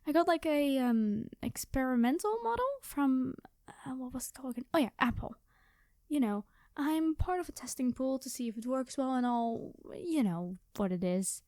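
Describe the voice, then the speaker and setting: A woman's voice: sits high, expressive, moderate pace, darkish tone, no room sound, studio quality. A woman playing a quirky, chatty time traveler from the future, rambling and flustered, in an ASMR roleplay. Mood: sheepish. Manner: rambling, flustered, hesitant, cheery. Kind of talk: ASMR storytelling roleplay, one-sided conversation.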